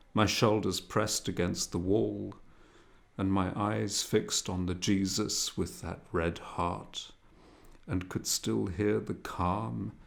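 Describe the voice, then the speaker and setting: A man narrating a literary novel as an audiobook, in a measured, expressive, dramatic reading manner. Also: deep voice, creaky.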